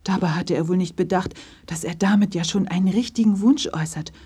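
A woman's voice: on the low side, fairly quick, mellow, husky. A woman narrating a scripted children's story.

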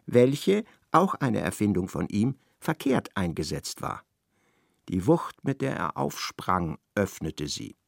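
A man narrating a literary radio play in a measured, precise storytelling style. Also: medium pitch, gravelly, dry recording, clean studio sound.

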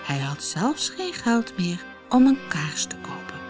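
A woman narrates a storybook fairy tale in an expressive, dramatic way. Her pace is unhurried and her medium-pitched voice is very animated. Soft music plays behind her. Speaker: audiobook storyteller.

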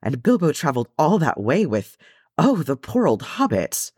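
Man voicing an earnest young hobbit with animated pitch. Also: moderate pace, breathy edge, no room sound, studio quality, exaggerated voice. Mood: aghast, amazed. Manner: earnest, exclaiming, apologetic. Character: polite, easily shocked young male hobbit gentleman. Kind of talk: storybook character reading.

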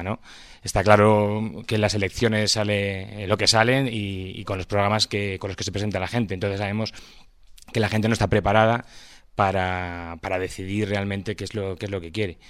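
A man with a Madrid accent and a smooth, fairly low voice, recorded dry. He speaks off the cuff as a teacher at a public debate, hesitating as he looks for words and tacking little questions onto his phrases. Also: no echo. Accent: Madrid Spanish. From Madrid, Spain. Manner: hesitant, searching for words, frequent tag questions. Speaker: schoolteacher speaking from the audience. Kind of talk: impromptu speech at a public debate.

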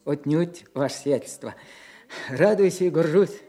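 High-voiced, humble village church scribe. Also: male voice, unhurried, dark tone. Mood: eager, reverent. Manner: deferential, earnest, old-fashioned churchly speech. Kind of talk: Soviet radio drama acting.

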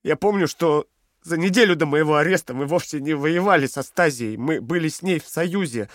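An actor in an audio drama playing a captive dissident under interrogation, fearful yet stubborn and earnest. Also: male voice, fairly high pitch, fairly quick, no room sound, studio quality, close to an everyday voice. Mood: insistent.

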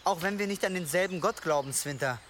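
An actor in a German radio drama playing a gentle, devout monk with a halting, pious manner. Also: male voice, high-pitched, a little hiss or hum. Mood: gentle, sorrowful. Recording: clear outdoor ambience behind.

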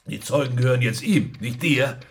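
An actor playing a gruff, middle-aged police superior, blunt and agitated, in a German radio crime drama. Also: male voice, medium pitch, moderate pace, lightly acted. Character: gruff, rattled male police superior who wants a drink.

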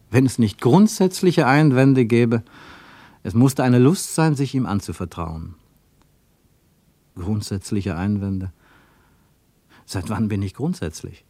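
A man narrating a literary radio play with measured, deliberate phrasing. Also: lowish voice, dark tone, no room sound.

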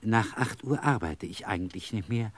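Husky, wheedling tip-seeker. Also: male voice, lowish voice, lively intonation, normal speed, no room sound. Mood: wheedling, apologetic. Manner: obsequious, roundabout. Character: wheedling, polite working man begging for a tip. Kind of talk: German radio play acting.

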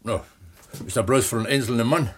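An actor playing a down-to-earth, contented mine pump attendant, unhurried and colloquial, in a radio play. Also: male voice, medium pitch, slightly rough, close to an everyday voice. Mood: matter-of-fact. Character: plain-spoken, contented male mine pump attendant who writes. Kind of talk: 1970s East German radio play acting.

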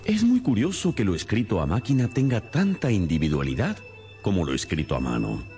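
An actor playing a shrewd, stern detective in a radio drama, speaking in a deliberate, theatrical, expository way. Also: male voice, fairly low pitch, wildly swooping pitch, dark tone, faint music behind. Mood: confident, demonstrative.

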